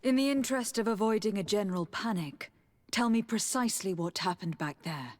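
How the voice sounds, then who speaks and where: A woman's voice: mid-range voice, animated, fairly quick, darkish tone, natural voice. An actress playing a young noblewoman envoy in a video game, composed, determined and commanding. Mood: commanding, probing. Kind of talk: video game cutscene acting.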